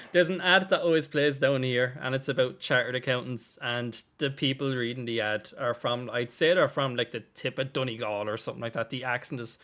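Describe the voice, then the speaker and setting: A man's voice: mid-range voice, fairly quick, a bit bright. Laughing, swearing Irish man hosting. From Ireland. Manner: laughs often, swears freely, upbeat banter. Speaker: host. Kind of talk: casual open meeting chat.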